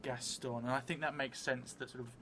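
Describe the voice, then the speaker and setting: A middle-aged man improvising narration for a solo tabletop game, thinking aloud thoughtfully in halting, short phrases. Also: medium pitch, level tone, background noise. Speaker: solo role-playing streamer. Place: indoor room set up for streaming, seated at a table. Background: music.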